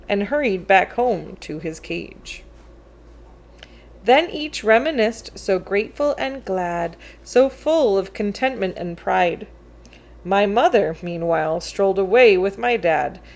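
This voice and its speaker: Slow-paced woman reading a children's story. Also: medium pitch, creaky. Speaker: read-aloud storyteller. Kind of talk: expressive children's picture book read-aloud in verse.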